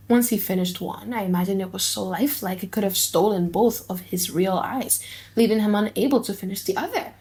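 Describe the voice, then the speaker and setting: A woman voicing an earnest young male suitor in a dramatic audiobook, speaking deliberately in emphatic short phrases. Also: mid-range voice, dark tone, close to an everyday voice. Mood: awed, smitten. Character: earnest young male suitor musing gravely, then smitten. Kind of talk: dramatic audiobook reading in modern English.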